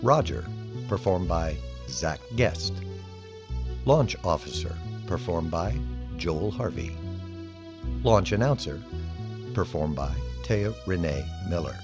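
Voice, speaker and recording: A man's voice: low voice, very animated, slow pace, long pauses, muffled recording, over music. A man reading scripted credits as the announcer of an audio drama.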